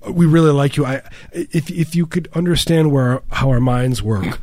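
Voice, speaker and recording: A man co-hosting a podcast, chatting casually with deadpan jokes, stammering and a slightly nasal voice. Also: fairly high pitch, moderate pace, no room sound, studio quality. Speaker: podcast co-host. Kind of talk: casual comedic chat.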